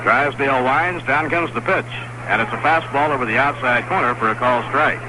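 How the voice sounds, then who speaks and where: A man calling a baseball game on the radio in a steady, fact-filled play-by-play style from a stadium broadcast booth. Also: mid-range voice, moderate pace. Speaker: play-by-play announcer. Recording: noisy 1960s radio broadcast.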